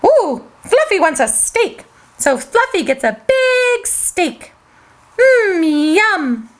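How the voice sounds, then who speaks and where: Kids show host lady, sing-song storytime, super high voice, slow. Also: very animated.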